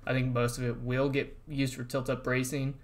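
A man narrating a casual voice-over update on a construction project, explaining relaxed and plainly with frequent ums and uhs. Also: medium pitch, moderate pace. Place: voice-over, not recorded on site.

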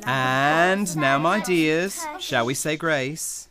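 An actor playing a gentle, devoted, forgiving father in a radio play, mild and affectionate. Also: a little high, extremely slow, clean tone, soft background music, exaggerated voice. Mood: earnest, warm.

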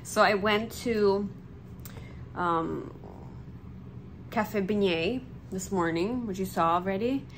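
Female travel vlogger, thirties, medium pitch, unhurried informal delivery, recorded at arm's length indoors. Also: rough recording. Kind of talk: casual chat to camera. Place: small indoor hotel room. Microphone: phone or camera at arm's length. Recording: noticeable background noise.

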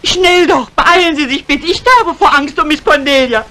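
Actress as an anxious woman, very high-pitched. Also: moderate pace, lightly acted. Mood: frantic. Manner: urgent, dramatic. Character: anxious, devoted young woman in a thriller. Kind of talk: 1950s German radio play acting. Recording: noisy vintage radio recording.

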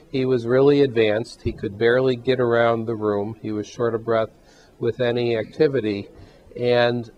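Measured older male doctor, dull sound. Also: medium pitch, a little mellow. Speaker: heart failure physician. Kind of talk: interview testimonial. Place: hospital exam room.

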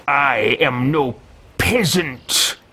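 A man in his thirties playing a haughty, greedy mage who mutters to himself in a pompous, drawn-out way, recorded at home. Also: mid-range voice, bright, forceful tone, exaggerated voice. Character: haughty male mage-thief, self-talking, greedy. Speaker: let's play host. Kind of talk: in-character game roleplay. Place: home room with bookshelves.

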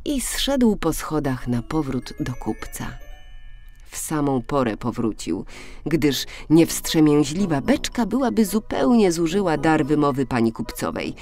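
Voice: mid-range voice, big pitch swings, measured pace, darkish tone. Powolna, ekspresyjna narracja bajki dla dzieci. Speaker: storyteller narrator. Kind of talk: expressive scripted children's audiobook narration. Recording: music under the voice. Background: music.

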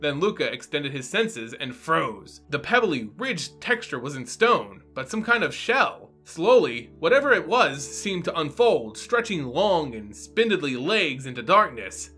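A man delivers dramatic story narration and explanation as a host. His voice sits fairly high and moves with great animation. He sounds theatrical and teacherly, with music playing behind him. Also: sing-song, measured pace, few pauses, pushed and bright, husky. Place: small home room, indoors.

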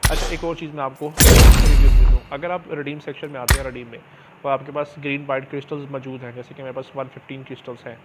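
A man's voice: mid-range voice, fairly even intonation, fast. A man gives a casual, explanatory game tutorial, often adding little check-in tags as he goes. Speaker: gaming channel host. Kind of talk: casual screen-recorded game tutorial commentary. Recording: dull lo-fi mic with clear background noise.

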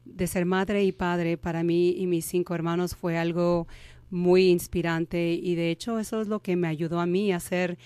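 A woman in her thirties, born in Mexico, speaking as an interview guest and telling her story candidly with pauses and restarts. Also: lowish voice, a little mellow, smooth, no room sound. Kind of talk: conversational interview.

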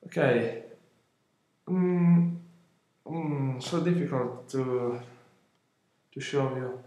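Slow, halting Italian-accented young man. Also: mid-range voice, mellow, reverberant. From Italy. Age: 20s. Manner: halting, many fillers and restarts, apologetic. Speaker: vlogger. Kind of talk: casual unboxing vlog. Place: room at home, indoors. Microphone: webcam at arm's length.

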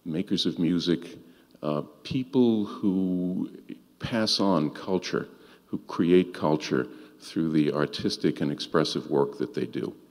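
A man's voice: deep voice, takes it slowly, a little mellow. A middle-aged man giving a ceremony speech as an executive director. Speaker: executive director presenting graduation. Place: large indoor auditorium. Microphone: podium microphone. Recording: reverberant hall through podium microphone.

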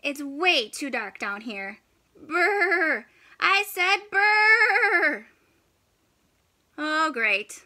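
Woman voicing a whiny, grumpy little penguin, high-pitched. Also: big pitch swings, extremely slow, pauses often, bright, forceful tone, heavily acted. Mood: grumbling. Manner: complaining, exasperated, melodramatic. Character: grumpy, whiny young male penguin full of complaints.

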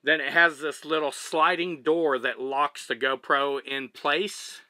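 A man's voice: on the high side, unhurried, no room sound. A man in his thirties with a Texan accent, casually walking through his camera setup step by step inside a truck cab. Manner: relaxed, chatty, explains step by step while handling gear. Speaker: vlogger. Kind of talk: casual gear demonstration. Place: small living cabin of a truck, indoors.

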